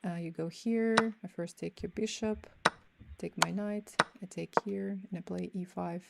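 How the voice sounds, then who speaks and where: A woman's voice: on the low side, steady tone, vocal fry, heavy background noise. A woman with a Russian accent, a native Russian speaker, streaming chess and thinking aloud over her moves in casual commentary. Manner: thinks aloud while playing fast games.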